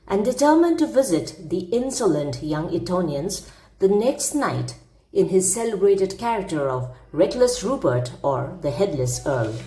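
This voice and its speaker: A woman's voice: mid-range voice, warm tone, reverberant, low-quality recording. A woman narrating a classic humorous story aloud as a storyteller, with theatrical, emphatic phrasing.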